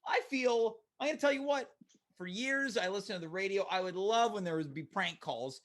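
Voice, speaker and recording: A man with a high, slightly rough voice hosts a phone-in show. He banters with callers in a playful, mock-earnest manner, treating silly matters as serious business. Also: moderate pace, fairly bright tone. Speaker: call-in show host.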